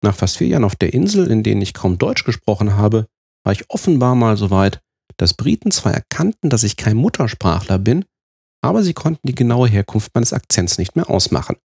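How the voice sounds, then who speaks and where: A man from Germany giving a scripted, measured explanation step by step, like a presenter. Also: on the low side, big pitch swings, gravelly, a little mellow, breathy edge, dry recording, clean studio sound.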